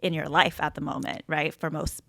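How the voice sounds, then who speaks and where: A young woman on a podcast whose speaking voice sits on the low side, recorded close and dry with no room sound. She talks earnestly, working through her thoughts aloud and checking in with her listeners as she goes. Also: flat delivery, fairly quick, creaky, fairly bright tone, no room sound. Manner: earnest, talks through ideas with many "right?". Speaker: podcast co-host. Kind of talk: casual chat.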